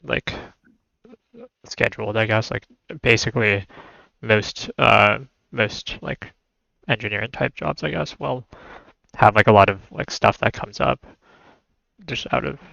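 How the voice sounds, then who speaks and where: Gravelly low-voiced young man, dull lo-fi mic. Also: steady tone, unhurried, pauses often, bright, forceful tone, no echo. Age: 20s. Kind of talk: casual chat.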